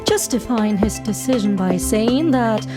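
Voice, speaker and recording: A woman's voice: on the high side, expressive, measured pace. A woman playing a polished, chipper news presenter in an audio drama, reading in a scripted broadcast style. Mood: polished, upbeat. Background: loud music.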